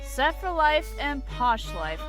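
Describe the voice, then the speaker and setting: A woman's voice: sits high, very animated, slow, over loud music. A woman reading scripted storybook narration in a dramatic style.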